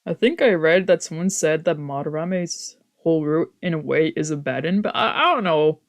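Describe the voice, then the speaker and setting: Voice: mid-range voice, moderate pace. A streamer casually narrating and commenting on a visual novel, swearing and reacting freely between lines read aloud. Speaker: streamer doing a let's play.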